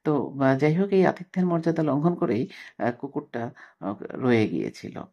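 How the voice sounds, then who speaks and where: A man giving a literature lesson as a teacher, reading and explaining haltingly, repeating phrases as he goes. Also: on the high side, lively intonation, mellow. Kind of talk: lesson: reads story aloud, then explains. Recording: dull lo-fi recording.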